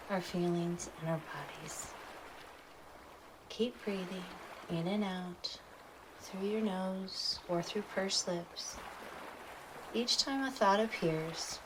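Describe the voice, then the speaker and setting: Female meditation guide, lowish voice, unhurried and soothing, short instructional phrases, clear ambient noise behind.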